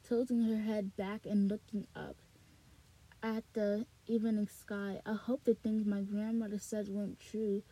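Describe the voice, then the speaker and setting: A woman's voice: medium pitch, warm tone, slightly rough, low-quality recording. Rambling woman reading aloud in a closet. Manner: rambling, repeats words, frequent fillers and restarts. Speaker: podcast host reading a novel aloud. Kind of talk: slow read-aloud, then rambling casual chat. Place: small closet at home.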